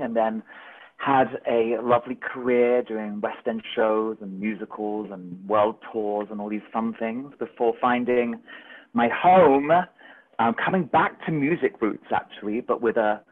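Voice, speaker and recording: A man tells his life story in a casual, rambling way, filling pauses with ums and likes. His mid-pitched voice comes through narrow and tinny, as if over a phone line. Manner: chatty, rambling, many fillers. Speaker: musical director. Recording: tinny phone-like remote call audio.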